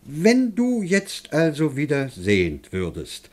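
Husky, animated, pompous Athenian master. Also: male voice, a little high, slow pace, exaggerated voice. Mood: earnest, self-righteous. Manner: declamatory, pompous, excitable. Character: pious, poor, self-righteous Athenian master and father. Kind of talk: classic German radio play acting.

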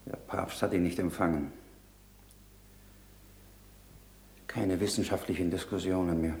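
Gravelly, low-voiced grave disciple. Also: male voice, steady tone, a little fast, darkish tone. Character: grave, reflective male disciple keeping an anxious vigil. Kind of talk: 1960s radio play acting. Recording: echoey 1960s radio studio.

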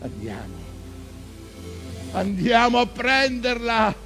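Vengeful obsessed old whaling captain, stage drama monologue, slow and gravelly, very declamatory. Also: male voice, extremely high pitch, wildly swooping pitch, over music, exaggerated voice. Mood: commanding, frenzied. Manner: dramatic, declamatory, swinging from murmurs to cries.

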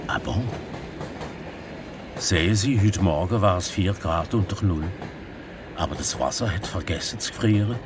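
A man's voice: unhurried, long pauses. A man acting a chatty, whimsical stranger in a radio drama, inquisitive and rambling. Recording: loud train noise in background. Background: rumbling train.

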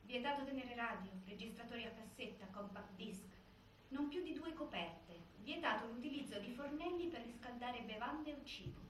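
Female narrator, slightly high voice, scripted stage narration, strong echo. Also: animated, moderate pace. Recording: very echoey hall, distant, faint noise.

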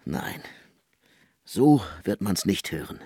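An intense intellectual composer in a literary radio drama. The husky voice swings widely in pitch, emphatic and coolly ironic. The recording is close and dry. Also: male voice, sing-song, mellow, no echo, heavily acted. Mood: fervent, insistent. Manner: deliberate, emphatic, lecturing.

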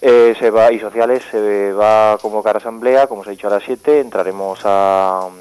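A man from Spain, calling into a radio show by phone, talking haltingly with many fillers and restarts. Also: fairly low pitch, steady tone, a little mellow, smooth. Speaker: caller from a local radio. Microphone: telephone. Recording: phone line.

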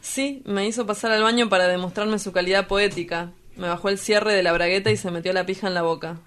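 A woman's voice: medium pitch, fairly even intonation, brisk, fairly bright tone, lightly acted. Woman playing a crude, teasing Argentine street poet. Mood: cynical teasing. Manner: blunt, vulgar, teasing. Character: crude, idle young male street poet, teasing and cynical. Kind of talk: radio drama dialogue.

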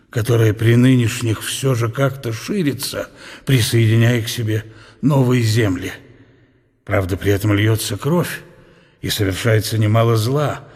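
An actor playing an ailing, aging scholar who muses bitterly and proudly on his country in a radio drama, in a husky, deliberate voice. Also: lowish voice, darkish tone. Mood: weary, resigned. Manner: deliberate, weighty, philosophical musing. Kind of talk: radio play inner monologue. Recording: music behind the voice.

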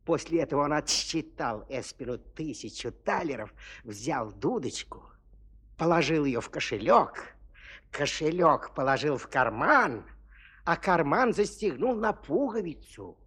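A man's voice: fairly high pitch, expressive, unhurried, fairly bright tone, breathy edge. A man narrating a children's fairy tale expressively, in a playful, confiding storyteller's manner that speaks straight to the listeners.

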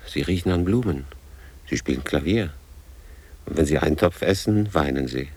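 A low, husky, weary man. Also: animated, moderate pace, a little mellow, full character voice. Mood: subdued, brooding. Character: gruff, weary grown man humoring a child. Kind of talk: radio drama acting.

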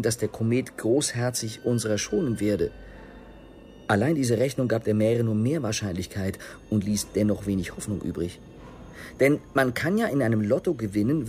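A man's voice: medium pitch, darkish tone. A man giving an articulate, rhetorical reading of a literary text for the radio. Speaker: reader of literary texts.

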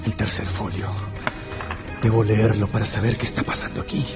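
Actor as an earnest, lowish-voiced young lover. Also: male voice, level tone, brisk, music in the background, natural voice. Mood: tense, curious. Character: earnest, troubled young man, pleading lover. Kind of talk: radio drama acting.

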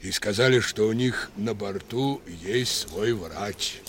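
An actor playing a village man who retells gossip with solemn, deliberate emphasis in a 1960s radio drama. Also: lowish voice.

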